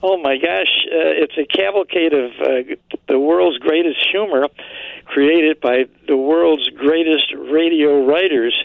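An older American man reminiscing conversationally as a radio guest, unhurried and emphatic. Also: on the high side, vocal fry, no room sound. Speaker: radio guest, old-time radio historian. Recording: phone-like narrow radio line.

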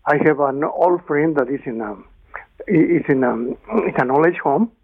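A man from Mexico calling in to a radio show, halting and indignant. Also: on the high side, no room sound. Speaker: caller. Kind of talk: call-in complaint. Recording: phone line.

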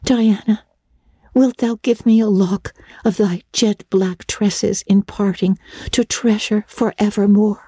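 A woman's voice: on the high side, fairly even intonation, a little slow, mellow, no room sound, studio quality, pitched above the performer's own voice. A woman voicing an earnest, romantic young girl in a dramatic audiobook reading, theatrical and solemn, with flowery old-fashioned speech.

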